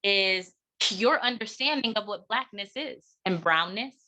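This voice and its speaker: A middle-aged American woman delivering an impassioned keynote talk in an emphatic, deliberate way, with rhetorical pauses and repetition. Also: mid-range voice, animated, pushed and bright. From United States. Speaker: keynote speaker and educator.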